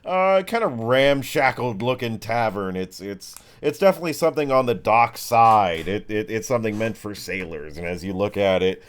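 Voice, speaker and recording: A man with a fairly low voice works as game master. He improvises his narration casually, halting and restarting sentences as he describes each scene. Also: lively intonation. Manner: halting, loosely conversational, many mid-sentence restarts.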